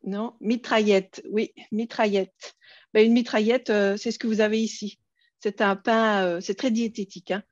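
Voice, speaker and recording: A woman's voice: medium pitch, fairly even intonation, moderate pace, no room sound. Belgian French woman, dull call audio. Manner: hesitant, frequent fillers, friendly. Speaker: presenter. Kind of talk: online workshop presentation.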